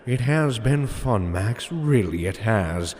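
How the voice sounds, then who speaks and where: A man's voice: fairly low pitch, animated, slow, darkish tone. A man voicing a smug, theatrical supervillain mastermind with mock politeness in an audio superhero comedy. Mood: smug, gloating. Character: gloating comic-book supervillain mastermind, male, smug and theatrical. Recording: reverberant hall sound with music.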